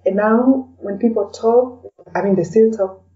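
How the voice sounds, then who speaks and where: A woman's voice: fairly high pitch, measured pace. A woman from Kenya with a Kenyan accent, chatting as an interview guest and often adding "you know" to her sentences. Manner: peppers talk with "you know". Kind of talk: casual conversational interview. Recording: distant, slightly dull sound.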